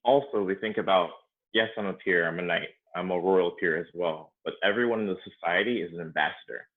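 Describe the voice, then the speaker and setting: Male panelist, low-pitched, explanatory delivery, telephone-like narrow sound. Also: brisk. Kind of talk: explanatory talk in a roundtable. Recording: narrow, phone-like call audio.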